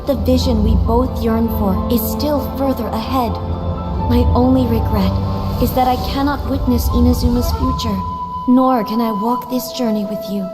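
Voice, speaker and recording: An actress voicing a gentle, wise former goddess in a game cutscene, speaking in a tender, serene, heartfelt way. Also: female voice, a little high, steady tone, moderate pace, natural voice. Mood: exalted. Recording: long reverb with game music.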